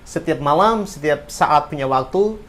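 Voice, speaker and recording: A man's voice: a little high, expressive, measured pace, fairly bright tone. A man, an English teacher and former interpreter, talking earnestly and encouragingly in a podcast interview.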